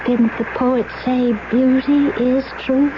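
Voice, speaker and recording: Slow, seductive radio temptress. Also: female voice, high voice, little pitch movement. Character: seductive temptress Lilith, coaxing and theatrically yearning. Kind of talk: old-time radio drama acting. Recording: muffled, hissy old radio drama recording.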